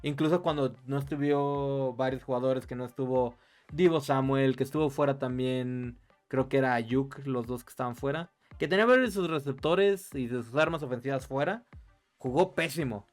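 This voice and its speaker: Man, Mexican Spanish accent, medium pitch, sports podcast co-host, casual opinionated analysis, light music in the background. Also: moderate pace.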